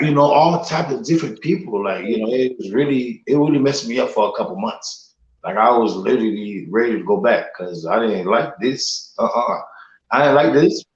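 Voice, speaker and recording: A man's voice: medium pitch, gravelly. Man in an echoey room. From Haiti. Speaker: podcast guest. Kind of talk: casual chat. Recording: echoey room, dull lo-fi audio.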